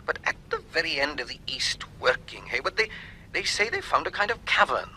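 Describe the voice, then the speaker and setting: An actor playing an excitable, blustering university lecturer in a radio drama, speaking emphatically. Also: male voice, on the high side, brisk, a little hiss or hum, full character voice, rough recording. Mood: intrigued.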